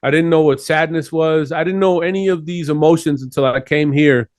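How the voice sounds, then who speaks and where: A man's voice: on the high side, a little fast, no room sound, studio quality. A man from Chile, talking earnestly and at length as a guest in a casual interview. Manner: earnest, rambling.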